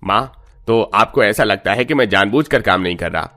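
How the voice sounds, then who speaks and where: Fed-up husband, forceful low voice man acting. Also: animated, normal speed. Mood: angry, hurt. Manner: indignant, scolding. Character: fed-up, indignant rich husband and son. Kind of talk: cartoon moral-story dialogue.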